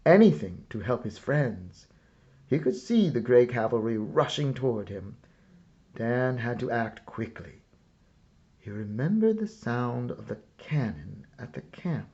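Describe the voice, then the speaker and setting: A man reading a children's story aloud as scripted narration, with a measured, dramatic storyteller's delivery. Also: medium pitch, a little mellow, highs cut off. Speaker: storyteller reading a picture book.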